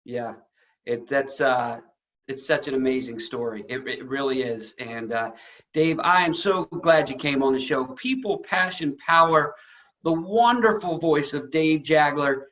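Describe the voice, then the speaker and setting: A man hosting a casual interview, speaking effusively with halting repetitions, on slightly dull lo-fi audio. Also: medium pitch, fairly bright tone. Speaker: show host, interviewer.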